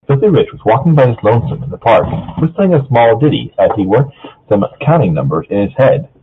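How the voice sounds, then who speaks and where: A man's voice: medium pitch, big pitch swings, a little fast, breathy edge. A man narrating a fan fiction story aloud, casually breaking off to banter with his co-reader. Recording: thin phone-like voice chat sound.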